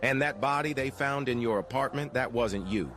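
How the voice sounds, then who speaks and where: An actor playing a tough, cynical 1940s private eye in a noir radio drama, clipped, sardonic and confrontational. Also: male voice, mid-range voice, moderate pace, fairly bright tone, faint music behind, close to an everyday voice. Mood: accusing, cynical.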